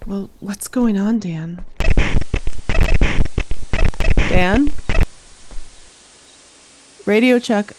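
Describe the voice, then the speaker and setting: A woman's voice: medium pitch, creaky, darkish tone. A woman playing a friendly, reassuring summer camp director who encourages her campers in an audio drama. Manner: encouraging, addresses listeners as campers.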